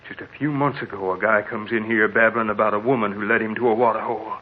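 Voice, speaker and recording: An actor playing a skeptical, folksy desert-town local with dismissive rustic talk in a vintage radio drama. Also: male voice, lowish voice, steady tone, quick pace, breathy edge. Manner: drawling rustic speech, dismissive. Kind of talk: 1940s radio drama acting. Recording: tinny vintage radio recording.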